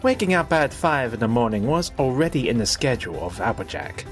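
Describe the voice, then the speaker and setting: A man narrating a scripted story in an expressive, theatrical way. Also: mid-range voice, brisk, faint music behind.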